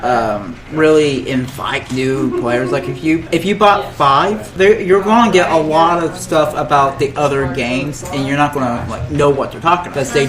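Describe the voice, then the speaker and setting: A man's voice: on the high side, normal speed, few pauses. Rambling man chatting over crowd chatter. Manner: rambling, often restarting and repeating phrases. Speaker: podcast panelist. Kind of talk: casual opinionated chat about games and films.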